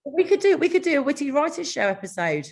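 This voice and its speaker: A woman's voice: high voice, big pitch swings, quick pace, vocal fry, darkish tone. A British woman, an author, who reads fiction aloud and chats casually about it. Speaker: author and show host, guest. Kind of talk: casual chat and reading own fiction aloud.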